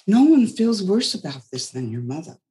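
A woman's voice: mid-range voice, very animated, normal speed, warm tone, voice pushed up, natural voice. Woman doing a firm, motherly older woman. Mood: chiding.

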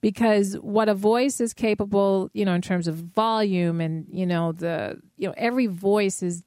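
A woman with a soft voice chats easily about life as a singer, piling on "you know" asides. The sound is dry and close, with no room echo, and her pitch sits in a middle range. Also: normal speed. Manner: animated, chatty, many "you know" asides. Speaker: singer and podcast co-host. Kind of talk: casual conversation.

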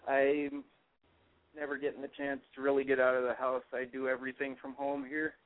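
Guest caller, man with Minnesota accent, mid-pitched, relaxed and halting, over a tinny phone line. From California. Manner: easygoing, hesitant, chuckles. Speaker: guest, music promoter. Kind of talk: phone-in radio interview.

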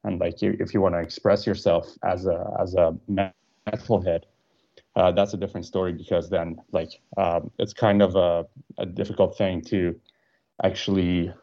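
A man's voice: low voice, level tone, moderate pace, warm tone, muffled recording. A young man from Iran, a band's guitarist and producer, answering interview questions hesitantly with many fillers. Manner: hesitant, frequent fillers and restarts.

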